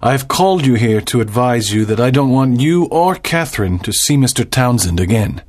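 A man's voice: medium pitch, expressive, normal speed, darkish tone, close to an everyday voice. An actor playing a proud, cold middle-aged father and doctor in a dramatized audiobook, speaking with pompous authority. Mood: stern, warning.

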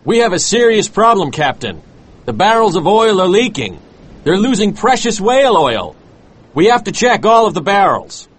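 A male narrator voices a principled ship's mate from a seafaring storybook, high and husky. The mate speaks with urgent, worried earnestness, the pitch swinging widely as he pleads. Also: big pitch swings, normal speed, exaggerated voice.

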